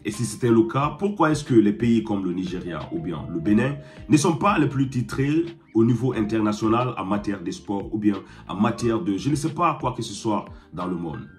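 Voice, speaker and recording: A man commenting on a video in a measured, questioning tone. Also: on the low side, animated, faint music behind.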